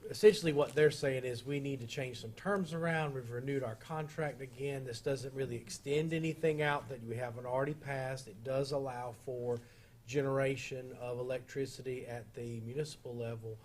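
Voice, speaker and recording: A man's voice: medium pitch, steady tone, normal speed, a little mellow, a little hiss or hum. A man with a sore throat and a slightly froggy voice, explaining a contract as attorney at a public meeting, carefully and with many fillers. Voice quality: a little froggy. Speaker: town attorney.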